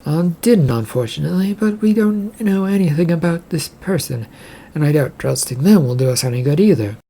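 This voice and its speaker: A narrator plays a guarded crewwoman in a sci-fi audiobook. The voice lifts in pitch and stays husky, with a skeptical, questioning manner. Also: lively intonation, brisk, dark tone. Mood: doubtful.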